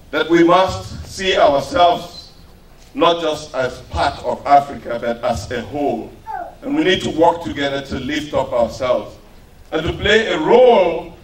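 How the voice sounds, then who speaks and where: A man from Cameroon delivers an emphatic speech at a community event, stressing his words with slow rising phrases. His slightly high voice comes from a distant mic in an echoey hall with faint background noise. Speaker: event speaker. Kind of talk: public speech.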